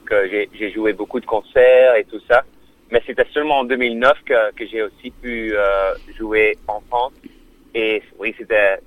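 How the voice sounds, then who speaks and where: A man, a musician guest on a radio interview, speaking haltingly, with many fillers and restarts. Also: mid-range voice, no echo, narrow, tinny audio. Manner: non-native French.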